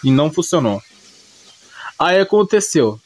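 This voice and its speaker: A Brazilian man with a slightly high voice recaps an anime story in a casual, unscripted way. He rambles along in slangy speech and often corrects himself as he goes. It sounds like an informal storyteller talking off the top of his head. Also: very animated, measured pace.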